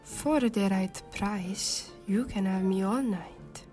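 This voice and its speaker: An actress playing a worldly, coy saloon working woman in an audio drama, with a sultry, slow way of talking. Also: mid-range voice, a little mellow, faint music behind, lightly acted.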